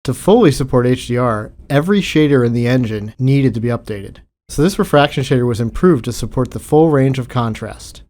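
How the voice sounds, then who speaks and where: A man's voice: mid-range voice, animated, a little fast, darkish tone. A man delivering scripted technical commentary as a game developer.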